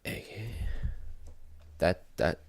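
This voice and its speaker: A man giving casual reaction commentary, speaking in a halting, informal, slangy way. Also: slight background noise. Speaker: reaction video host.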